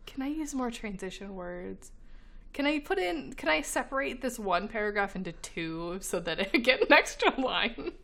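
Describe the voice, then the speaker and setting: A woman's voice: a little high, expressive, moderate pace, creaky, a bit bright. Chatty woman, laughing often. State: slightly congested, recovering from illness. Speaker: podcast co-host. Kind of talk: casual chat.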